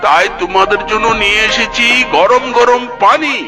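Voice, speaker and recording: A man's voice: high voice, normal speed, natural voice. A man voicing a foolish, whiny fox husband in a comedy cartoon, with exaggerated exclamations. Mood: smug, delighted. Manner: exaggerated wailing, self-pleased exclamations. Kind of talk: Bengali comedy cartoon acting. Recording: music under the voice.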